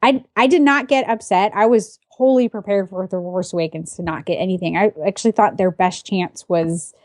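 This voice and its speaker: Middle-aged woman, measured podcast co-host. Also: medium pitch, a little mellow, no echo, studio quality. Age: 40s. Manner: measured, reasoning, explanatory. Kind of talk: casual pop-culture podcast chat.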